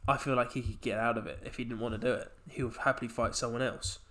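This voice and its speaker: A man's voice: mid-range voice, steady tone, fast talker, a bit bright. A young man hosting a casual podcast chat while he has a cold, talking in a chatty way. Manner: chatty, reads out quotes in a punchy voice. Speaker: podcast host.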